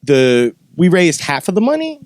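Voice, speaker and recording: A man's voice: a little high, huge pitch swings, moderate pace, darkish tone, dry recording, clean studio sound. A man talking informally and with profanity as he hosts a casual podcast chat. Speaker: podcast host and former metal band member.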